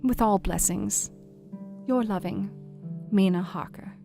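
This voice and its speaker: Young Victorian wife, female actress: audio drama letter, mid-range, gentle, anxious, earnest, guitar music underneath. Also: mid-range voice, slow pace, creaky. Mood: anxious, confiding. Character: tender, worried young Victorian wife writing to a friend.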